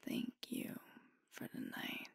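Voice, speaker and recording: A woman playing a sweet, devoted werewolf girlfriend in an ASMR roleplay, speaking in a hushed, breathy, tender voice close to the microphone. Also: no room sound, close to an everyday voice. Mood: tender, concerned. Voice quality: breathy, whispery. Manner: slow, tender, hushed delivery with pauses. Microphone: close microphone, dry.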